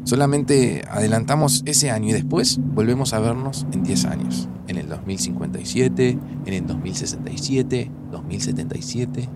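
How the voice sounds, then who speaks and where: A man's voice: medium pitch, normal speed, few pauses, very noisy, close to an everyday voice. An actor with a Buenos Aires accent playing an earnest, insistent, guilt-burdened man in an audio drama. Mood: hopeful, tender. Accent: Argentine, Buenos Aires. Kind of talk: audio fiction acting, naturalistic dialogue.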